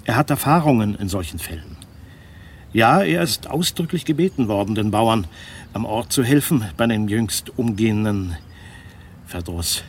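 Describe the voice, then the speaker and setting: A country doctor in a radio play. His voice is fairly low, his manner formal, courteous and pressing. A faint ambience runs behind him. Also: expressive, normal speed, slight background noise. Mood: urgent, courteous. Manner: formal, insistent. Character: earnest, urgent country doctor, courteous and businesslike. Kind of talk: radio drama acting.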